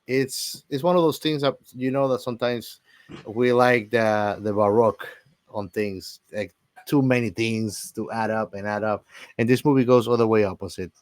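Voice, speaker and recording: A man's voice: on the low side, dry recording. A man chatting casually as a podcast co-host, very informal and often tagging his phrases with "man". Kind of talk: casual film podcast chat.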